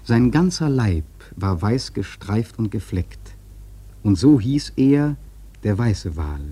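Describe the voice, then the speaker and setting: An actor playing a haunted whaling sailor, narrating a literary radio play in a solemn, dramatic manner with deliberate phrasing. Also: male voice, on the low side, very animated, dark tone, slightly rough, heavily acted. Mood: awed solemn.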